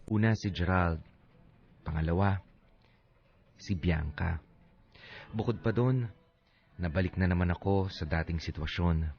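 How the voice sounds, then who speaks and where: An actor playing a heartbroken young man who narrates his letter in a radio drama, earnest, measured and sentimental. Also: low voice, highs cut off.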